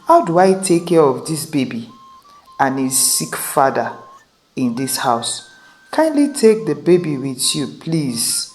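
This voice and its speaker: A woman's voice: sits low, sing-song, slow, a little mellow, heavily acted. Woman acting a firm, pleading elderly grandmother. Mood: grateful, pleading. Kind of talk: acted folktale dialogue.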